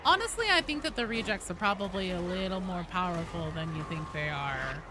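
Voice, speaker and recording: A woman's voice: fairly low pitch, expressive, a little fast, noisy background. A woman game streamer chatting casually and informally while she plays, with slangy talk and plenty of swearing. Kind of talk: casual live stream chat with gameplay commentary.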